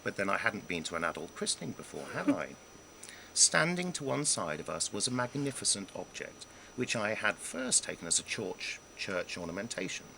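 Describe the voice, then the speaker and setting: A man reading his own humorous memoir aloud in a wry, self-deprecating way, with dramatic pauses and emphasis. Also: fairly low pitch, very animated, vocal fry, bright, forceful tone, a little hiss or hum, rough recording. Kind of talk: scripted narration of a humorous memoir.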